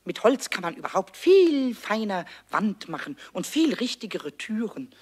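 An actor voicing an impish, excitable little male kobold in a children's radio play, squeaky and boastful. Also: moderate pace, husky. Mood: excited, scheming.

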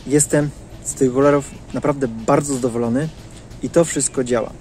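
Medium-low-voiced man reviewing products outdoors. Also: fairly even intonation, fairly quick, a little mellow, soft background music. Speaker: vlogger giving a product review. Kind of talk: casual monologue to camera. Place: outdoors in leafy woodland. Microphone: clip-on lapel mic.

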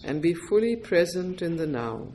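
A woman leading a guided meditation as a teacher, giving gentle, deliberate instructions in short phrases with pauses. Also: fairly low pitch, big pitch swings, slight background noise, poor audio.

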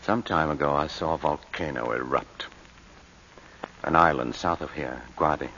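An actor playing a serious, guarded male lawyer, speaking in a measured and earnest way in 1940s radio acting style. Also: rumbling low, creaky, bright, forceful tone, exaggerated voice. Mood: reflective. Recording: dull old radio broadcast, faint hiss.

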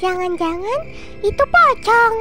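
An anxious little cartoon girl, speaking in an extremely high, childlike pitch. She frightens easily, and loud music runs behind her. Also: expressive, a little slow. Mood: frightened. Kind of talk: animated cartoon dialogue.

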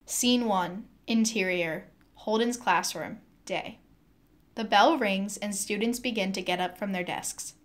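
A woman's voice: medium pitch, unhurried. A woman narrator reading scene headings and stage directions in scripted narration.

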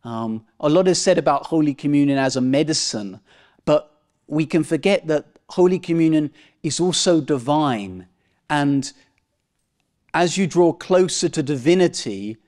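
Young priest guy in his 30s giving an earnest sermon, voice a bit on the high side, leans on key phrases. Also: unhurried, darkish tone. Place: parish church, indoors. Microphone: lectern microphone at chest height.

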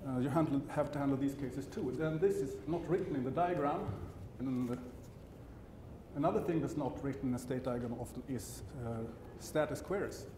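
A man's voice: mid-range voice, mellow. A middle-aged man from Sweden with a Swedish accent, presenting a technical conference talk on stage, often stopping to correct himself, with dry asides. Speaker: conference speaker. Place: large conference hall. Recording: roomy hall sound with background hum.

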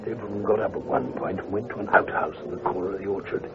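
An actor playing a loyal, earnest male doctor companion who gets excitable when alarmed, in 1940s radio acting style. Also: on the low side, fast, lightly acted. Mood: hushed report. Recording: hissy, tinny 1940s radio recording.

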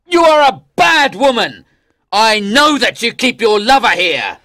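A man voicing a wronged, hot-tempered young king in a storybook, shouting accusations. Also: extremely high pitch, big pitch swings, unhurried, pitched above the performer's own voice, exaggerated voice. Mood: furious. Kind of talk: storybook character voice.

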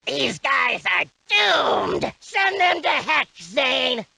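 An actor voicing a scrappy, loyal, cocky cartoon rat with a raspy voice, in exaggerated video game lines. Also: high voice, big pitch swings, very slow, bright, forceful tone, no room sound, full character voice. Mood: loyal, fierce.

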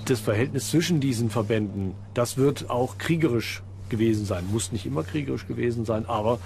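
A man explaining as an expert in a documentary interview, with deliberate, halting phrasing. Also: mid-range voice, creaky, darkish tone, a little hiss or hum. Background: background music.

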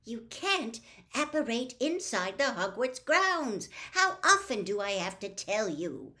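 A woman's voice: a little high, big pitch swings, a little slow, pushed and bright, pitched above the performer's own voice, exaggerated voice. A woman voicing an impatient, know-it-all schoolgirl in a storybook, emphatic and exasperated.

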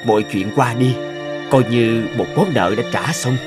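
A man plays a wise Zen master in an animated folk tale. He is calm and forbearing, with a mid-pitched voice and prominent music underneath. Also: unhurried, lightly acted. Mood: serene. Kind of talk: cartoon folk tale dubbing.

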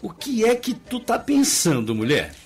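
Easygoing village husband, Brazilian accent, lively swings in pitch, radio drama, faint music. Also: male voice, unhurried, breathy edge, full character voice. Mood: defensive, exasperated. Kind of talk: radio soap opera acting.

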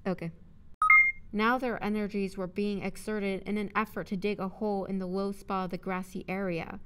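A woman's voice: medium pitch, fairly bright tone. A woman voice actor reading scripted audio drama narration, with casual chat between takes.

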